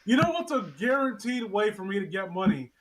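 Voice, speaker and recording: A man's voice: high-pitched, sing-song, brisk. Man, casual co-host banter, incredulous, blunt, foul-mouthed. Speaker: podcast co-host. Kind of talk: casual chat.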